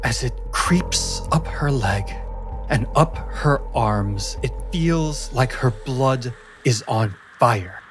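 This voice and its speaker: A man narrating a tabletop fantasy story as game master, in deliberate, suspenseful fragments. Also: medium pitch, expressive. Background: background music.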